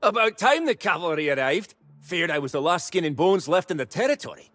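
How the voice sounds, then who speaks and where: An actor playing a reckless, folksy survivalist in a video game, boisterous and full of colorful frontier slang. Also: male voice, a little high, lively intonation, a little fast, a bit bright, slight background noise. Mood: excited relief. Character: gruff, reckless, folksy survivalist man holed up in a tavern. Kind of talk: video game character acting.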